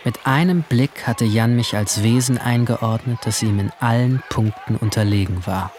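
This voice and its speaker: A man's voice: mid-range voice, moderate pace, a little mellow, soft background music, lightly acted. A man playing an anguished, withdrawn seventeen-year-old boy, narrating a radio drama in a subdued, introspective, literary first person. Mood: bitter, wary. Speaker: narrator and main character.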